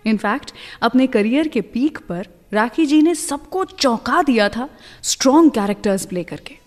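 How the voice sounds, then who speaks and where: A woman's voice: fairly high pitch, expressive, measured pace, a little mellow, in a large hall, faint music behind. A woman hosting a radio show, presenting in a friendly, chatty scripted style with English words mixed in.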